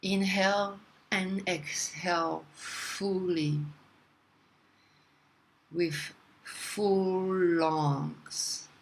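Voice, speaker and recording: A woman leading a guided meditation in a soothing, deliberate manner, leaving long pauses between phrases. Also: lowish voice, fairly even intonation, rough recording.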